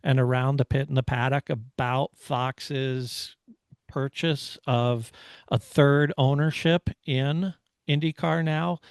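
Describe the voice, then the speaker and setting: A man co-hosting a motorsport discussion, talking casually and haltingly as he analyses. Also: medium pitch, fairly even intonation, gravelly, smooth, no echo. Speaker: motorsport podcast host.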